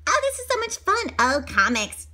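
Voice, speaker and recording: A woman voicing mischievous, excitable schoolchildren in a storybook, in a squealing, exclamatory way. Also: very high voice, moderate pace, voice pushed up, heavily acted. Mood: gleeful.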